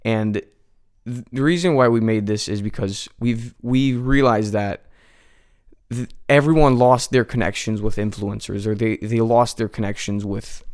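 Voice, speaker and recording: A man's voice: lowish voice, steady tone, unhurried, a little mellow. A nineteen-year-old man from the United States, a guest chatting casually in a radio studio, with lots of fillers and restarts. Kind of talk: casual interview chat.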